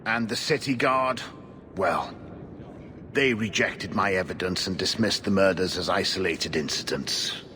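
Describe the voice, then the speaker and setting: A man acting a weary, stubborn older investigator in a video game, speaking in an earnest, formal, measured way. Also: deep voice, sharp tone, slight background noise. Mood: frustrated.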